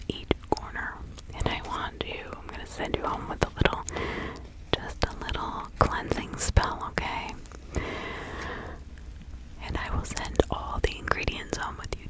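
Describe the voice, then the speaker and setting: A woman playing a kindly, protective village witch and spiritual healer in a whispered ASMR roleplay, hushed, soothing and incantatory. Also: close to an everyday voice, rough recording.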